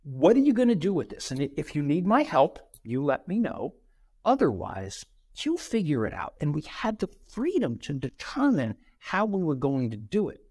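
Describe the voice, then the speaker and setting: A middle-aged man, a podcast guest, telling career stories in an animated way and acting out quoted dialogue. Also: fairly high pitch, normal speed, husky, no echo, studio quality. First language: bilingual, Brazilian parents. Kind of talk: conversational interview storytelling.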